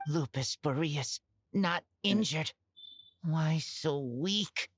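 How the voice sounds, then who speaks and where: A woman voicing an earnest, troubled wolf-raised boy in a video game, speaking in broken, halting phrases. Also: low voice, no room sound, exaggerated voice.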